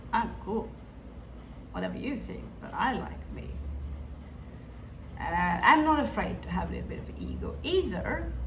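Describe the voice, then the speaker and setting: A woman with a Hindi-influenced accent, giving a tarot reading to her viewers in a musing, hesitant way that often addresses them directly. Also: on the high side. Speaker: tarot reader. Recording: echoey room, distant mic, background noise.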